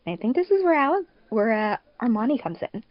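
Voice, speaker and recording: A woman's voice: a little high, sing-song, fast, lo-fi sound. A woman in casual podcast chat as a co-host, peppering her sentences with "like". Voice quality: frequent "like" as a filler. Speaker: podcast co-host, fandub producer.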